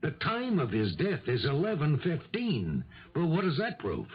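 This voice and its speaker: An actor in a 1970s radio drama playing a skeptical, world-weary police lieutenant with a gravelly voice, reasoning firmly. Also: male voice, a little high, wildly swooping pitch, normal speed, exaggerated voice. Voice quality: gravelly, husky. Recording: dull, lo-fi old radio recording.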